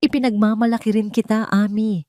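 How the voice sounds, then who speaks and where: A woman voicing a devoted, supportive man in love, gentle and sincere, in an audio drama. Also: medium pitch, steady tone, fairly quick, no room sound, clean studio sound, voice pushed up, close to an everyday voice. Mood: tender, sincere.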